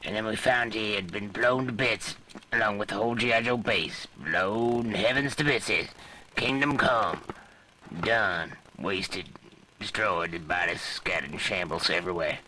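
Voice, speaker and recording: A man's voice: fairly low pitch, measured pace, noisy background, close to an everyday voice. A man playing a grim soldier with dramatic, emphatic delivery in an amateur cartoon dub. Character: grim, emphatic male soldier mourning his leader. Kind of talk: amateur military cartoon dubbing.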